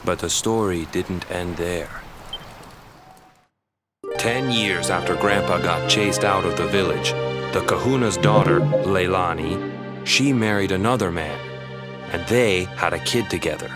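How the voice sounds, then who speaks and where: A man's voice: low-pitched, unhurried. An actor voicing an earnest young island fisherman in a video game, speaking in a plain, colloquial way. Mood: grave storytelling. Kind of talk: scripted video game voice acting. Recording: loud game music behind the voice. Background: loud music.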